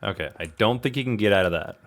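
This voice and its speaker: A man's voice: lowish voice, fast talker, fairly bright tone. A man giving casual gameplay commentary, talking to himself in a slangy, self-deprecating, exclamatory way. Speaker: gaming video host.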